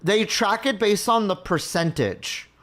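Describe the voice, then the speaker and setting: Brief: easygoing male streamer, slightly high voice, thinks aloud and circles back on himself. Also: expressive, measured pace, fairly bright tone. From Mexico. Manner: rambling, thinking aloud with many restarts. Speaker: livestream host. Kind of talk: casual chat with viewers.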